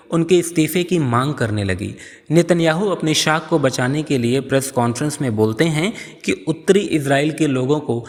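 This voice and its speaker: A man giving scripted explainer narration in an explanatory, storytelling manner. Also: mid-range voice. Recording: long reverb on voice.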